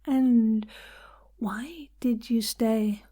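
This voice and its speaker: Female partner role, played by an actress, audio drama: fairly high voice, natural, teasing, persuasive. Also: extremely slow, dark tone. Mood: gently probing. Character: persuasive, good-humored woman coaxing her tough partner.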